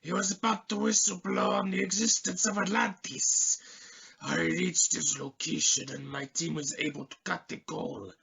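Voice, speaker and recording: An actor playing a fawning, anxious assassin who serves a cult, with theatrical servile pleading and a strained raspy voice, in an amateur radio play. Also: male voice, high-pitched, little pitch movement, moderate pace, pushed and bright, muffled recording, a little hiss or hum, heavily acted. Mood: servile, proud.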